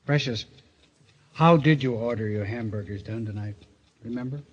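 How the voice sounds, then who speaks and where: An actor playing a wisecracking, outwardly tender husband and police reporter in a golden age radio mystery, slangy, wry and affectionate. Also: male voice, medium pitch, normal speed, natural voice. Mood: teasing, coaxing. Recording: muffled, noisy vintage radio recording.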